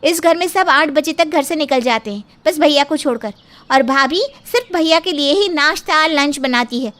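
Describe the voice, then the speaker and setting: Casting: woman as outspoken college-age sister-in-law, cartoon story. High voice, impatient, blunt, fearless. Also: normal speed, a bit bright. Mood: defiant, complaining. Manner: blunt, complaining. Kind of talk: Hindi cartoon moral-story acting.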